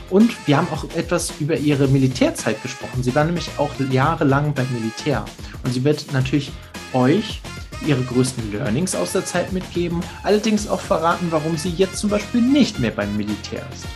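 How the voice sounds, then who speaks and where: A man hosting a podcast interview, talking in an enthusiastic, chatty way. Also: fairly high pitch. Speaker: podcast host.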